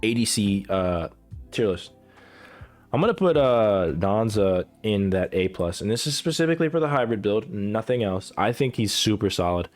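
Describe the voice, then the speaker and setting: Rambling, profane man with low voice. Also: animated, normal speed, faint music behind. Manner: rambling, profane, many fillers and restarts. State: getting sick, coughing. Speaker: gaming content creator ranking characters. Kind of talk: casual unscripted commentary.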